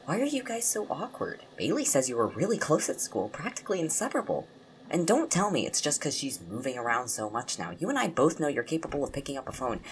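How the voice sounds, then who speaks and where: A teasing young roommate with a very deep voice and lively, swinging intonation. The talk is casual audio drama dialogue, nosy, confident and playful, with faint noise behind it. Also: female voice, fast talker. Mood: probing, exasperated. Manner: playful, probing, expressive.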